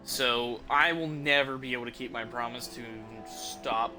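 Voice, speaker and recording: A man hosts a livestream in a medium-pitched voice. He talks off the cuff to his viewers, rambling informally as he explains things. Music plays clearly behind him. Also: fairly even intonation, moderate pace, low-quality recording. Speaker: livestream host, gamer. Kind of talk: casual unscripted chat to stream viewers.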